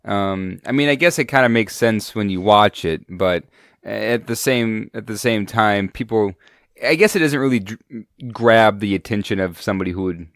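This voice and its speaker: Low-voiced man with a cold. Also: moderate pace, a bit bright, no room sound, studio quality. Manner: loose, hesitant, with many fillers and restarts. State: sick with a cold, voice deeper than usual. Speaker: podcast co-host. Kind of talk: casual podcast chat.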